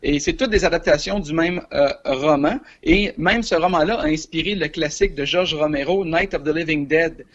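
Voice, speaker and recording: A man's voice: a little high, moderate pace, hardly any pauses, a bit bright. Quebec man, dull lo-fi line. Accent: Quebec French. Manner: hesitant, many filler sounds, chuckles. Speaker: film columnist. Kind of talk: casual radio chat.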